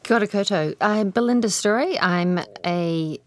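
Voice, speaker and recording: A woman's voice: medium pitch, normal speed, no echo. A woman from New Zealand with a New Zealand accent, an independent researcher introducing herself in a composed way, with the odd filler. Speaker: independent researcher, podcast guest. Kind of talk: conversational self-introduction.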